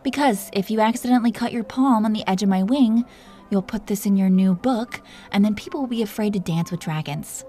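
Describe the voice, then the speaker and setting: A woman's voice: mid-range voice, brisk, dark tone, soft background music, natural voice. A woman voicing a seductive, possessive dragon queen in an intimate romantic roleplay audio, teasing and commanding as she flirts with pet names. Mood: playful, commanding.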